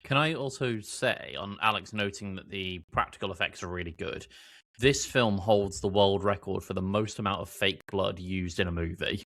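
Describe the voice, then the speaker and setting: A man with a lowish voice talks as a panellist on a podcast. His delivery is casual and informal, with emphatic opinions. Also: normal speed, fairly bright tone. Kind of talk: casual chat.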